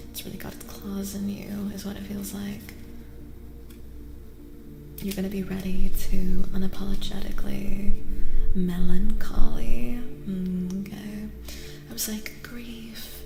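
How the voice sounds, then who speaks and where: A soft-spoken, breathy woman who often drops into a whisper as she gives a gently reassuring ASMR tarot reading, repeating phrases slowly. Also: mid-range voice. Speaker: ASMR host and tarot reader. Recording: echoing long reverb, music underneath.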